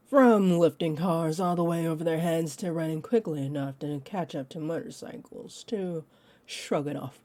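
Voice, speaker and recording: A woman's voice: deep voice, mellow, no room sound, close to an everyday voice. An actress playing a teasing, possessive female vampire in an audio roleplay, condescending and confident, speaking straight to the listener. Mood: skeptical, probing. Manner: smug, taunting, unhurried.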